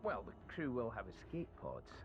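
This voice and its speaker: A man's voice: medium pitch, huge pitch swings, normal speed, dull audio, background noise. A man playing a deferential, somewhat nervous military engineer in a video game, formal and dutiful. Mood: uneasy. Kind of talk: video game cutscene acting.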